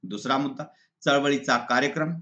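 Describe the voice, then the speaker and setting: A man teaching in a deliberate, didactic way, reading exam answers point by point. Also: medium pitch, pushed and bright. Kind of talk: exam answer walkthrough, lesson read aloud. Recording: slightly dull lo-fi recording.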